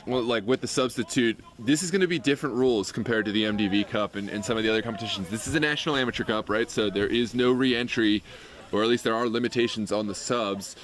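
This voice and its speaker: A man doing loud live play-by-play for an amateur soccer match from the sideline, his voice lifting on attacking moves. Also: lowish voice, quick pace. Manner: loud, excitable calls on attacks. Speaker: play-by-play commentator. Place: outdoors at a soccer field sideline. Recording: outdoor field with crowd noise. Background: crowd.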